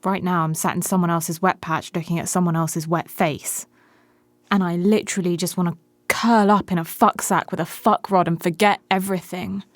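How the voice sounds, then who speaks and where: Radio play: actress as prickly, weary, brash woman, sardonic and blunt, lowish voice, dry close sound. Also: steady tone, moderate pace, dry recording, close to an everyday voice. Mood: irritated, weary. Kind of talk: naturalistic radio drama acting.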